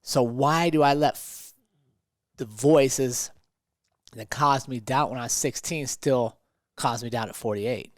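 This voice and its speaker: A man's voice: medium pitch, takes it slowly, no room sound, studio quality. A man talking conversationally as a motivational podcast host and coach, emphatic and fond of rhetorical questions.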